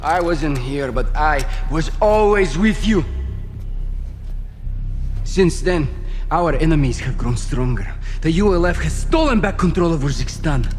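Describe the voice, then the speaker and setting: A Russian warlord rallying his fighters in a game cinematic. His voice is fairly high and very slow, commanding and theatrical, with a Russian accent. Loud music plays behind him. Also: animated. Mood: grandiose menace. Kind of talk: dramatic propaganda speech.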